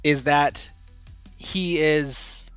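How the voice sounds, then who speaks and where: Male game master, slightly high voice, close dry sound, casual improvised tabletop narration. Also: fairly even intonation, extremely slow, fairly bright tone, clean tone, no echo, faint music behind.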